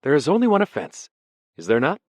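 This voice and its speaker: A man's voice: fairly high pitch, wildly swooping pitch, a little fast, a little mellow, dry recording, clean studio sound, pitched above the performer's own voice. A man voicing a nervous, pedantic male poet held as a prisoner, speaking vaguely and with fussy indignation. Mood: bewildered, pedantic.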